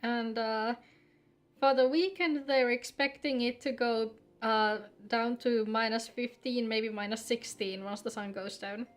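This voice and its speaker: A woman's voice: a little high, fairly even intonation, a little slow. A woman live-streaming a game, chatting casually and teasingly with viewers in sweary, informal talk.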